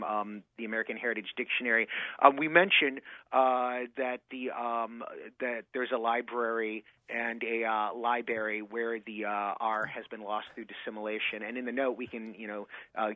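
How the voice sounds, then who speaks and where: A man's voice: fairly low pitch, dry recording. A man from Michigan, a dictionary editor, answering questions on a radio call-in show, hesitant with many ums and restarts. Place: remote studio in Boston. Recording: dry, phone-like audio.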